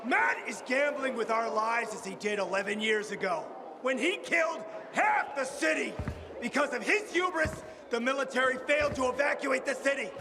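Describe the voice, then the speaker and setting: A condemned man pleading his case to a crowd, super high strained pitch, defiant game drama, big echo and noisy. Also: expressive, normal speed. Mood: defiant outrage. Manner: impassioned declaiming to a crowd. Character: condemned man, defiant and desperate orator on trial. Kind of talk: dramatic video game acting. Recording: echoing hall, loud background noise.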